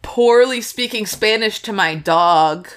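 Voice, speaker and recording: A woman's voice: medium pitch, takes it slowly, a bit bright. A woman co-hosting a podcast, telling stories in a casual, chatty way with fillers and laughs. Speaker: podcast co-host. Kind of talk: casual chat.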